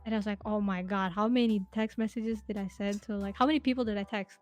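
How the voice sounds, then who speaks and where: A woman's voice: medium pitch, fairly even intonation, fast talker, soft background music. A woman in her twenties, a podcast guest chatting casually, telling rambling stories with lots of fillers and giggles. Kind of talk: casual interview chat.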